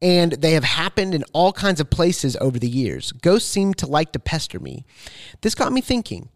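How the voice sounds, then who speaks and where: A man's voice: fairly high pitch, sing-song, moderate pace, dry recording, clean studio sound. A man co-hosting a podcast, casually reading out listener questions and bantering.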